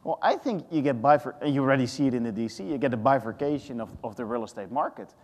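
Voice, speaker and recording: A Dutch man in his thirties on a conference panel, thinking aloud with lots of restarts. His voice sits at a middling pitch. The hall around him adds a long, ringing reverb. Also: expressive, fast, a little mellow. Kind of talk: panel discussion.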